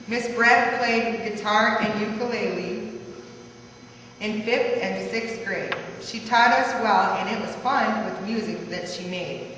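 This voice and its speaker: A woman's voice: medium pitch, a bit bright. Woman reading rhymed family history aloud, distant in a very reverberant hall, occasional stumbles. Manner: stumbles over words as she reads. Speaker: reader of a family life story. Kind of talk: rhyming verse read aloud. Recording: echoey hall from far off, faint noise.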